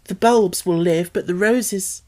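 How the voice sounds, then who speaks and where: Storybook young girl, woman narrator acting: earnest, high-voiced, breathless, words tumbling out. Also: a little slow, dark tone, close to an everyday voice. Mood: eager, urgent.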